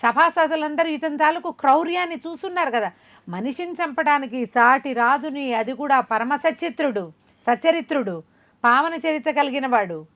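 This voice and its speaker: Woman storyteller, fairly high voice, lively conversational retelling, folk tale. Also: normal speed.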